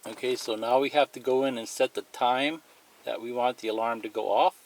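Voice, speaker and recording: A man's voice: mid-range voice, steady tone, brisk, slight background noise, low-quality recording. A man giving a plain, methodical, unscripted step-by-step demonstration of a product as a tutorial presenter.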